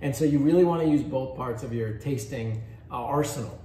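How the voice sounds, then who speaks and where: A man's voice: medium pitch, fairly quick, mellow. A man leading a cheese tasting class, guiding listeners step by step in an easygoing way. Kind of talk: casual tasting instruction. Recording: echoey room, faint background noise.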